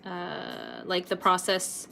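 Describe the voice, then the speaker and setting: A woman in her late twenties from Canada, chatting casually with viewers while painting on a livestream, rambling a little and filling pauses with ums. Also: fairly low pitch, monotone, vocal fry, bright, forceful tone, a little hiss or hum. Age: late 20s. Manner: chatty, rambling, laughs often, many ums. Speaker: art livestream host.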